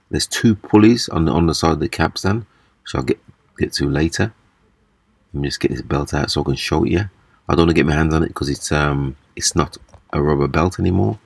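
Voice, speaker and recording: A man giving a casual, hands-on repair demonstration, explaining haltingly step by step. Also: very deep voice, gravelly, dry recording. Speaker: repair video presenter. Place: small indoor room at a table.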